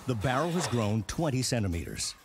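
A man's voice: medium pitch, sing-song, brisk. Male documentary narrator over prominent music. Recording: music behind the voice. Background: background music.

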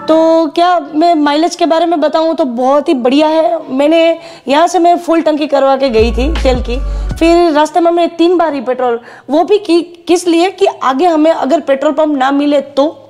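A woman in her thirties answers questions in a shop office, her voice high yet soft and mellow. She gushes with repeated praise, pausing on fillers between thoughts. Faint music sits behind her lapel-miked voice. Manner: enthusiastic, repetitive praise, hesitant fillers. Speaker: customer giving a testimonial. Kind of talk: casual interview answers. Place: indoor rental shop office. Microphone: lapel mic.

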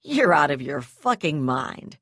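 A woman's voice: deep voice, big pitch swings, unhurried, husky, no room sound, clean studio sound, full character voice. A woman voicing a furious, foul-mouthed female brigadier who snarls and sneers in a harsh, hard-edged voice. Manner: shouting, snarling, swearing.

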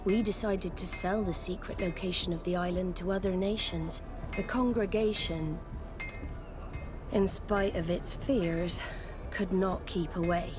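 Stern older-ish sea admiral lady actress doing it, low voice, grave n deliberate, game dialogue w music behind. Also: fairly even intonation, lightly acted. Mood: solemn, measured. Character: stern, weathered female admiral of secretive seafarers. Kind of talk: scripted video game acting.

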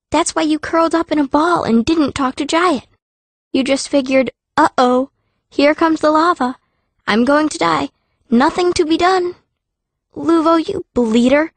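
A woman's voice: on the high side, no room sound. An actress voicing a fierce, blunt young girl mage who narrates an audiobook in the first person. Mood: blunt, exasperated. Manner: blunt, youthful.

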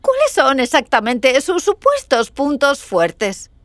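A woman voicing a haughty, scornful queen who dotes on her favourite, in a cartoon fairy tale, with drawn-out delivery. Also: high voice, wildly swooping pitch, fairly bright tone, no echo, clean studio sound, pitched above the performer's own voice, heavily acted.

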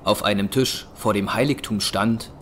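A man narrating a scripted audio drama as a measured storyteller. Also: fairly low pitch, steady tone, a bit bright, slight background noise. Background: music.

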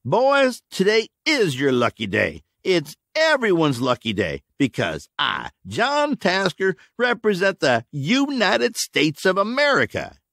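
A man's voice: high-pitched, no echo, clean studio sound, voice pushed up, full character voice. Man doing a grandiose, patronizing official. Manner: slow, calm, speechifying. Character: smooth-talking male official, grandiose and patronizing.

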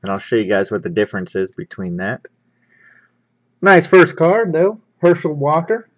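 A man's voice: on the high side, moderate pace. A man hosting a casual unboxing video with an upbeat, easygoing manner, talking through what he handles. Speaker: host of a sports card pack-opening show. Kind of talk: casual hands-on unboxing commentary. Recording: slightly dull, lo-fi microphone.

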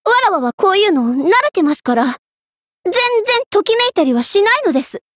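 Young queen, very high girlish pitch, imperious, vain, easily flustered tsundere, anime game, dry close sound. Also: big pitch swings, normal speed, fairly bright tone, no echo, exaggerated voice. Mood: haughty. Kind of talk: Japanese anime game character voice acting.

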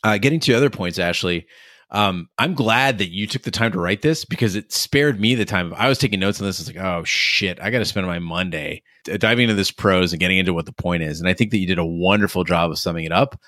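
A man hosting a podcast, talking through a review in a casual, measured, explanatory way. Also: low-pitched, fairly bright tone, no room sound, studio quality. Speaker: podcast host.

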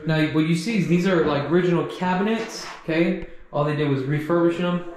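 A man's voice: fairly high pitch, a little fast, darkish tone. Man touring property, very echoey rooms. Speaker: real estate agent giving a property tour. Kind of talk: casual walkthrough commentary. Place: empty condo rooms and outdoor walkway. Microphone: handheld action camera. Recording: echoey empty rooms, some background noise.

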